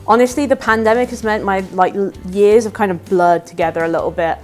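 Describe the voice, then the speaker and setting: A woman's voice: medium pitch, fairly quick. A woman bug bounty hunter chatting casually, with lots of "like" fillers. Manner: friendly, chatty, many "like" fillers. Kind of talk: casual interview answers. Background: faint music.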